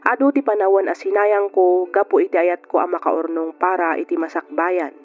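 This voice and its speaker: Through a narrow, tinny radio band with music behind, an actress plays a regretful young Ilocano woman narrating her own story. Her delivery is earnest, reflective and measured, in old radio drama fashion. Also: fairly low pitch, steady tone, natural voice.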